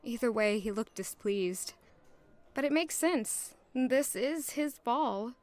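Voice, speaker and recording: A woman playing a modest, gentle young lady in an audio drama, polite and earnest, at times narrating in first person. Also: fairly high pitch, expressive, takes it slowly. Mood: pensive.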